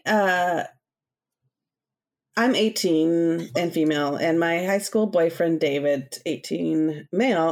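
A woman's voice: low-pitched, darkish tone. A young woman co-hosting a casual podcast, easygoing and a bit hesitant, reading out a listener letter. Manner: easygoing, hesitant, backtracks while telling. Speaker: podcast co-host.

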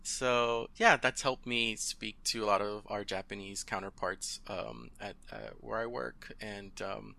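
A man in his thirties with a low, gravelly voice that still has a bright, forward edge. Spanish was his first language. He talks casually as a podcast guest, hesitating with lots of ums and uhs and laughing easily. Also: fairly even intonation, a little slow, few pauses. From Southern California. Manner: hesitant, many fillers, laughs often. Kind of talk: casual interview chat.

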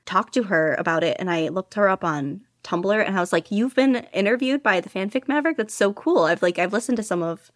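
A woman's voice: mid-range voice, lively intonation, fairly quick, few pauses, no room sound, studio quality. Gushing, laughing woman guest. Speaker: guest podficcer. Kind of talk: casual interview chat.

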